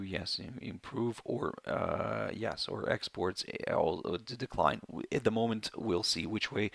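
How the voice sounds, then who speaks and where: A man with a hoarse, failing voice, hosting a live market webinar in a rambling way with many fillers and self-corrections. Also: on the low side, pushed and bright, dry recording. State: hoarse, voice going.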